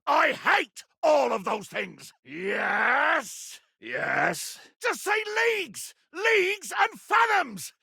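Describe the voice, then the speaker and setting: An actor playing a grandiose, menacing pirate captain in a video game, raspy and theatrical, haughty with archaic nautical insults. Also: male voice, very high voice, huge pitch swings, extremely slow, few pauses, bright, forceful tone, no room sound, raised voice, studio quality, exaggerated voice. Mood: furious, exasperated. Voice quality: gravelly, raspy. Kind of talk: video game character acting.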